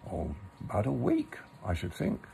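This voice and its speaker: A man voicing a kind, sensible young boy in a storybook, gentle and measured. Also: mid-range voice, huge pitch swings, vocal fry, slight background noise, exaggerated voice. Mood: kindly.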